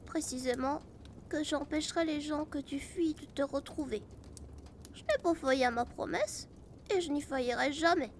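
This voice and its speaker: Creaky, high little animal companion. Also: female voice, normal speed, slight background noise. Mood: earnest, protective. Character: small, cheeky, loyal, lazy magical animal companion. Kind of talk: fantasy audio drama acting.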